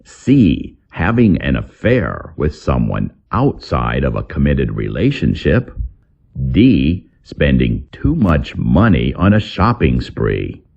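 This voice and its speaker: A man reads a scripted English lesson as a teacher, setting words and phrases apart with deliberate enunciation. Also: extremely low pitch, wildly swooping pitch, vocal fry, darkish tone, husky, lo-fi sound. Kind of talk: scripted vocabulary lesson narration.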